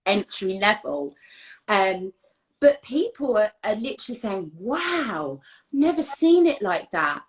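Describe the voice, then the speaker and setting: A woman's voice: mid-range voice, big pitch swings, takes it slowly. A woman appearing as a guest on a live-stream conversation about anti-racism work, speaking earnestly and emphatically and repeating key words for weight. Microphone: phone or webcam on a live stream.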